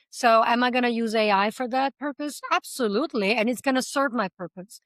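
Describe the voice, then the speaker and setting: A passionate woman guest talks freely in an interview, moving from point to point with everyday examples. Her voice sits at a middling pitch. The recording is dry and close, with no room sound. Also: a bit bright, no echo. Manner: speaks several languages. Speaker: language school founder, interview guest. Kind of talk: conversational interview answers.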